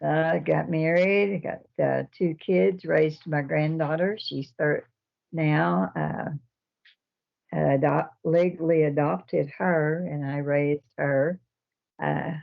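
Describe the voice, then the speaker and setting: An older woman from Texas, a care home resident answering interview questions in a slow, halting way with many fillers. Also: low voice, gravelly. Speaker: nursing home resident and resident council president. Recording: dull lo-fi recording.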